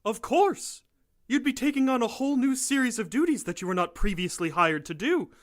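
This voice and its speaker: An actor playing a persuasive professor who runs a research lab, polite and coaxing, in an audio drama. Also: male voice, very high-pitched, animated, fairly quick. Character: smooth-talking, persuasive male professor running a research lab.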